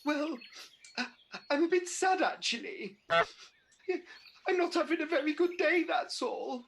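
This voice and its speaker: Woman doing panto dame, high voice, really animated, fussy and a bit whiny, radio play. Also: a little slow, creaky, a little hiss or hum, heavily acted. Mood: sad, self-pitying. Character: pantomime dame Mother Goose, vain, hurt, sweet, fussy. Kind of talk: British radio pantomime acting.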